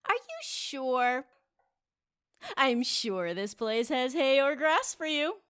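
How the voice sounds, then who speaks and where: A woman's voice: high voice, very animated, slow pace, fairly bright tone, clear, resonant tone, exaggerated voice. A woman playing a teasing, breezy young female customer at a magical cafe in an audio drama.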